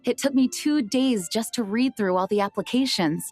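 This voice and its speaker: A woman playing a dutiful young knight and acting leader in a video game, speaking in a formal, measured, earnest way. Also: fairly high pitch, a little mellow, lightly acted. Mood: friendly, modest. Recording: background music under the voice. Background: music.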